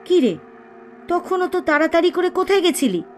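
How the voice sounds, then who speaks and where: Actress as high-voiced mother. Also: sing-song, moderate pace. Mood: inquiring. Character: mother questioning her grown daughter at home. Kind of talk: Bengali audio drama acting.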